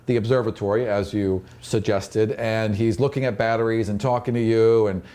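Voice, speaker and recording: A man's voice: fairly low pitch, fairly even intonation, moderate pace, dark tone. A man narrating an improvised fantasy tabletop game as game master, descriptive and conversational, often repeating phrases as he explains.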